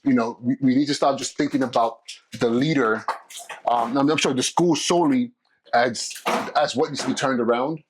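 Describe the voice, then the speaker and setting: A man's voice: mid-range voice, fairly even intonation, moderate pace, slightly rough, rough recording. A middle-aged man from California hosting his own podcast, talking casually with lots of restarts and fillers. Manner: conversational, many restarts and fillers. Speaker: podcast host and school principal. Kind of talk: casual solo podcast talk.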